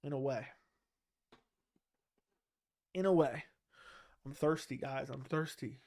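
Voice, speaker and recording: A man's voice: mid-range voice, normal speed, darkish tone. A man hosting a casual livestream, reading questions from chat and answering them bluntly while his throat is sore.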